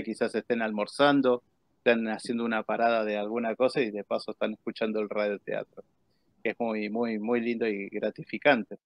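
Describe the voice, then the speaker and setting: A middle-aged Argentine man hosts a casual conversation. His voice sits at an average pitch with plenty of gravelly fry. It is recorded close and dry, with no room sound. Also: dry recording. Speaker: host. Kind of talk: casual chat, interviewing. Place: indoors.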